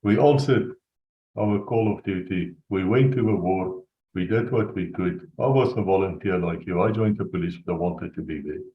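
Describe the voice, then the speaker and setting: Earnest host, roomy dull sound. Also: male voice, sits low, moderate pace. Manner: earnest, exhorting. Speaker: podcast host. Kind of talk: earnest rallying speech.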